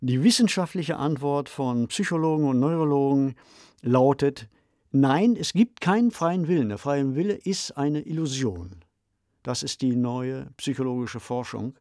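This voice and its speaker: A man co-hosting a podcast discussion, speaking in a measured, formal, explanatory manner. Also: mid-range voice, lively intonation, dark tone, dry recording, studio quality. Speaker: podcast co-host. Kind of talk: discussion of fiction and ideas.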